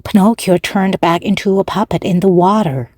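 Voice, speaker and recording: A woman reads a children's storybook aloud in short, measured phrases. Her voice is mellow and dry, close and plain. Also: medium pitch, steady tone, no echo. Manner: measured, with pauses between phrases. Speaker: storybook narrator. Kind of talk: slow, clear read-aloud for language learners.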